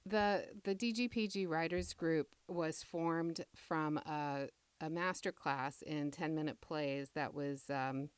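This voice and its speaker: Middle-aged woman playwright in interview: deep, smooth voice, measured and reflective, dry close sound. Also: no echo.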